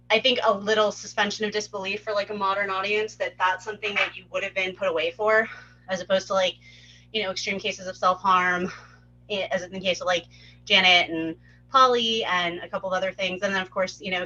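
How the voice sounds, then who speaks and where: Bright-voiced woman in casual group chat. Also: mid-range voice, highs cut off, a little hiss or hum. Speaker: participant helping run an online script table read.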